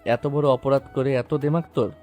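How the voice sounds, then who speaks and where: A man's voice: mid-range voice, steady tone, moderate pace, a little mellow, close to an everyday voice. A man playing a cruel, jealous husband in a romantic audio story, taunting, threatening and commanding. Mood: menacing, angry. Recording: music behind the voice.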